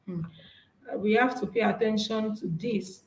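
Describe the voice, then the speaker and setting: A woman's voice: mid-range voice, normal speed, a little mellow, reverberant, slight background noise, rough recording. A woman with a Nigerian accent, whose first language is Yoruba, giving an earnest, encouraging workshop talk with slides, often restarting her phrases. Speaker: speaker.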